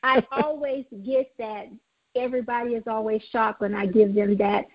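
Southern woman telling her story, a bit husky. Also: a little high, fairly even intonation, normal speed, highs cut off. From Mississippi. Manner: earnest, faith-filled, with frequent fillers. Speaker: guest, nonprofit founder. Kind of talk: interview, personal testimony.

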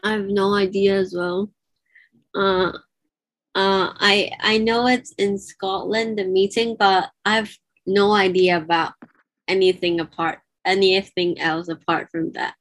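A woman from Hong Kong speaks in a flat, level tone. She stumbles and hesitates, repeating "uh" as she gathers her words. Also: mid-range voice, measured pace. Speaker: discussion participant.

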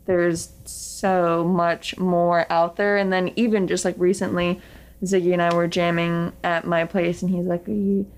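A woman with a fairly low voice, chatting casually. She hesitates and restarts often, leaning on filler words. She laughs easily between thoughts. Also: level tone, unhurried. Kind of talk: casual chat.

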